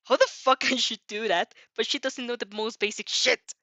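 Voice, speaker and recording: A woman's voice: a little high, steady tone, moderate pace, pushed and bright, dry recording. A woman voicing a scornful, hot-tempered, foul-mouthed teenage boy in a fan fiction reading, sneering as the character speaks. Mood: exasperated. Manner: sneering, swearing. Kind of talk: fan fiction read aloud.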